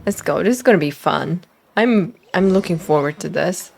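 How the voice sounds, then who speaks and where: A woman streamer giving gushing, excitable live commentary while playing a video game. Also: lowish voice, normal speed, creaky. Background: game music.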